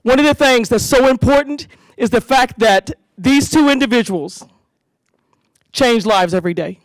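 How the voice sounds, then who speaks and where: A woman hosting a school community safety event, giving an animated speech to parents and leading call-and-response chants. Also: fairly high pitch, moderate pace, dry recording, poor audio.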